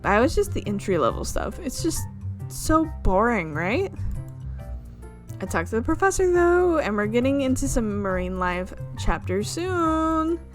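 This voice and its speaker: A woman's voice: fairly high pitch, very animated, a little slow, faint music behind. A woman voicing an eager, free-spirited young female art student in a visual novel, bubbly and self-assured. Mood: earnest.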